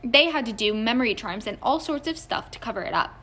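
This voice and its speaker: Lady reading as a teen wizard boy in a storybook, chatty, mischievous, bright highish voice. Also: expressive, fairly quick. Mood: chatty, amused. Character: teenage wizard boy, chatty, mischievous schemer among brothers.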